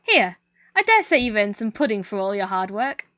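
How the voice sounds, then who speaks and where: A woman's voice: medium pitch, big pitch swings, moderate pace, bright, forceful tone. A woman playing a motherly, nosy household cook in an amateur comedy murder mystery. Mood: friendly, teasing.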